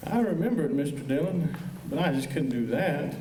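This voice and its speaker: A man's voice: on the high side, expressive, fairly quick, warm tone, slight background noise, natural voice. An American man playing an earnest, flustered frontier deputy in a Western radio play, folksy, hesitant and polite as he stammers along. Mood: dejected. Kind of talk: stage performance of old-time Western radio drama. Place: high school auditorium stage. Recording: echoey auditorium, distant mic, music behind.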